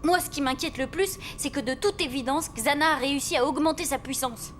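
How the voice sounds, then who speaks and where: A woman voicing an excitable young teenage schoolkid in an adventure cartoon. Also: high voice, brisk, a little hiss or hum. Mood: urgent. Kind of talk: animated series dubbing. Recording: music behind.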